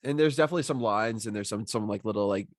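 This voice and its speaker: A man's voice: lowish voice, racing, dry recording, clean studio sound. A man who is a scriptwriter, chatting casually and appreciatively on a video call. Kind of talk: casual chat.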